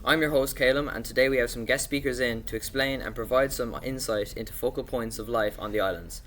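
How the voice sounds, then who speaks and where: Young man, podcast host, mid-range pitch, scripted presenting. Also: fairly quick, fairly bright tone.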